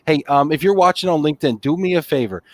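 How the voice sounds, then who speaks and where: A man's voice: a little high, animated, quick pace, no echo. A man hosting a live online show, chatty and greeting viewers by name. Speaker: host.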